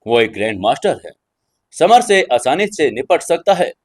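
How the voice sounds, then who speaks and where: A man's voice: on the high side, sing-song, normal speed, breathy edge, no echo, clean studio sound. A man voicing a haughty young male lord in an audio drama, with a stern, condescending manner. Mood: contemptuous.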